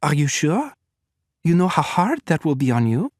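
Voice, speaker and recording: A man voicing a calm, clinical woman scientist with a slight French accent in an audiobook. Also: sits low, moderate pace, no room sound, studio quality, pitched above the performer's own voice.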